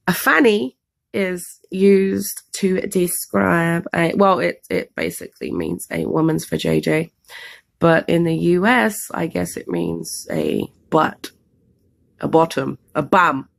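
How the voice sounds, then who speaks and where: Hoarse, gravelly London woman with a cold. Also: lowish voice, animated, slow pace. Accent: London English. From England, London. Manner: chatty, rambling with fillers. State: losing her voice from a cold. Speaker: vlogger co-host. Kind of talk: casual story time chat.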